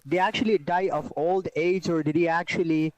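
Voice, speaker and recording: A man from India co-hosting a podcast in loose, casual chat. His voice sits high for a man. He laughs a lot and breaks in with repeated "wait, wait" before asking his questions. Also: fairly even intonation, fairly quick, dry recording, low-quality recording. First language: not English. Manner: laughs often, keeps saying "wait, wait" to cut in. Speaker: podcast co-host.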